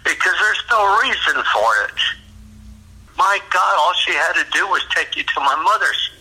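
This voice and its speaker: A middle-aged or older man talking intimately and earnestly on a phone call, tender, pleading and halting, sounding choked up. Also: high-pitched, lively intonation, bright, forceful tone, slightly rough. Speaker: father talking with his adult daughter. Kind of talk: intimate, emotional phone conversation. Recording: phone call heard through a speaker.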